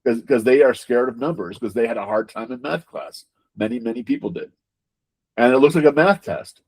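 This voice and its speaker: A man's voice: mid-range voice, a little fast, breathy edge. A man giving conversational interview answers as a game designer, emphatic, repeating words and often checking in with rhetorical questions.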